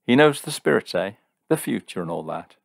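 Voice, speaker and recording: A man's voice: lowish voice, sing-song, fairly quick, gravelly, no echo, studio quality, exaggerated voice. A man voicing a hot-tempered, sneering young man in an audiobook story, blustering and sarcastic. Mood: gloating, mocking.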